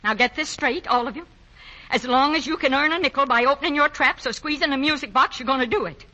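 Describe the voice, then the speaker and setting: A woman's voice: high voice, fast talker, pushed and bright. An actress playing a feisty, bossy mother of grown sons in 1940s radio comedy, scolding in brusque slang. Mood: bossy, exasperated. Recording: muffled old 1940 radio broadcast.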